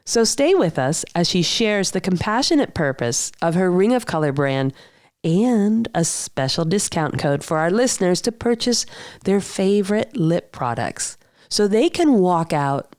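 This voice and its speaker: Upbeat woman podcast host, lively pitch, dry close mic, tosses in Spanish words. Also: unhurried, no room sound. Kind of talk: scripted intro then interview.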